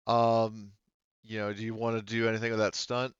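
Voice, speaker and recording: A man with a clear, fairly low voice narrates a tabletop roleplaying game as its game master. He speaks casually and haltingly, in a dry, close and slightly muffled recording. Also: monotone, no room sound.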